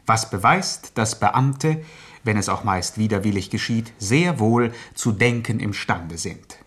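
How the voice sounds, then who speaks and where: A man's voice: lowish voice, animated, breathy edge. A man giving scripted radio commentary in a pompous, ironically formal manner. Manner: pompous, deliberate phrasing.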